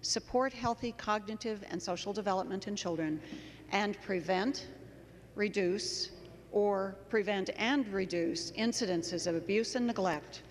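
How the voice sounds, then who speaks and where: A woman's voice: mid-range voice, unhurried. A woman in her sixties, a state legislator, formally reading a resolution into a handheld microphone. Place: large indoor legislative chamber. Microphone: handheld floor microphone. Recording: reverberant chamber with clear room noise.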